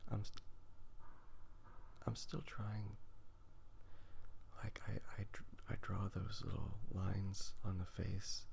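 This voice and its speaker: A man speaking in a hushed, half-whispered voice, rambling casually and haltingly in a self-deprecating, musing way as an ASMR host. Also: sits low, vocal fry, slight background noise. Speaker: host of an ASMR drawing channel. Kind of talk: soft-spoken ASMR, casual rambling chat. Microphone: phone recording.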